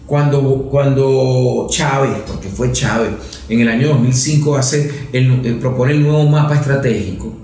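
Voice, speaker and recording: A man's voice: medium pitch, fairly even intonation, moderate pace, a little mellow. A Venezuelan man in his fifties, a professor and politician, explaining political history in a methodical lecturing way, checking in with "no?" as he goes. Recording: very echoey room.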